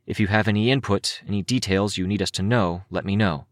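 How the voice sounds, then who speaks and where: A composed young heroine from a story, read by a man. She speaks in a raised pitch, firm and probing, practical and protective, in dry close sound. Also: brisk, no room sound, close to an everyday voice. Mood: concerned, clinical.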